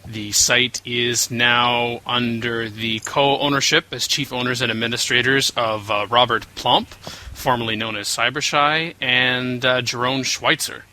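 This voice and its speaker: A man's voice: medium pitch, steady tone, a little slow, pushed and bright. A man co-hosting a casual podcast, joking around and then explaining things at length. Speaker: guest co-host.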